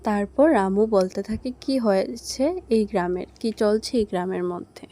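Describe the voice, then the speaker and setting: A woman narrates a scripted cartoon story. Her pitch is mid-range for a woman, and her tone is warm and relaxed. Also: a little slow.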